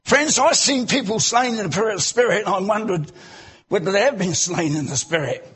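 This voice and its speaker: A man preaching a sermon in a church, animated, breaking into loud exclamations and asking the congregation rhetorical questions. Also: high-pitched, normal speed, breathy edge.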